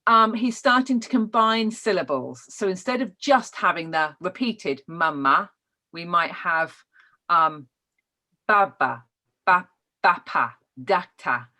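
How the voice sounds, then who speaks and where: A woman's voice: lowish voice, animated, takes it slowly, pushed and bright. British woman teaching, explanatory delivery. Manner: explanatory, checking in with learners. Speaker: trainer teaching child development. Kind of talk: informal online lesson, explaining.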